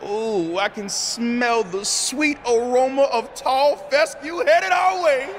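A man playing a bombastic, goofy showman wrestler in a video game, theatrical and booming, drawing out his words. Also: takes it slowly, slight background noise. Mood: teasing. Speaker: wrestler character in a cutscene. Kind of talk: video game acting, hype promo.

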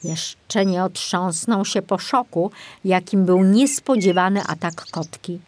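A woman reading a children's audiobook as narrator, in an expressive storytelling style. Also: lowish voice, measured pace.

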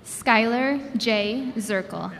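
A woman reading graduates' names one by one at a commencement ceremony, announcing each name with a measured pause through a podium microphone. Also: a little high, vocal fry, a bit bright. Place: large indoor gymnasium. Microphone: podium microphone over a PA system. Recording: long hall reverb with crowd noise. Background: crowd ambience.

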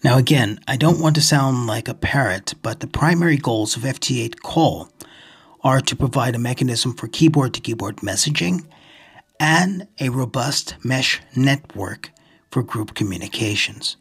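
A man narrating an explanatory screen-recording video as host, in a measured, deliberate manner with a husky, gravelly voice. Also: mid-range voice, animated, a bit bright. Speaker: ham radio video host.

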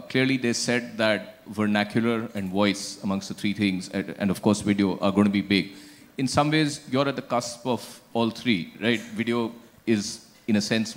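A middle-aged man moderating a conference panel, asking long measured questions with some hesitations into a handheld mic. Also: on the low side. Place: large conference hall on stage.

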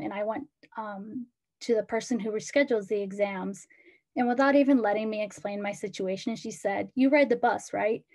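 A teenage woman from Ecuador, a student panelist, giving earnest personal testimony over a video call, with frequent pauses. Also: mid-range voice, a little mellow.

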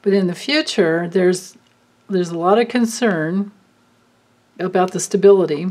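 A woman doing a card reading, thinking aloud with many pauses and fillers. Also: on the low side, darkish tone. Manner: slow, musing, thinking aloud. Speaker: card reader. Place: indoors at a table, hands and cards filmed from above.

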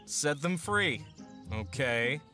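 A man's voice: fairly high pitch, sing-song, slow pace, loud music behind the voice. A man playing an earnest, unsure boy who dreams of being a mercenary, in a fantasy audio drama. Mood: gentle, wistful. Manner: hesitant, earnest. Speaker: voice actor.

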